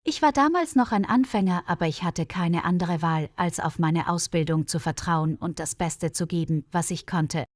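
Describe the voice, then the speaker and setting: Woman voicing an earnest, calm male nurse. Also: lowish voice, moderate pace, hardly any pauses, no echo, studio quality, lightly acted. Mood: earnest, reflective. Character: dedicated, modest, experienced male nurse.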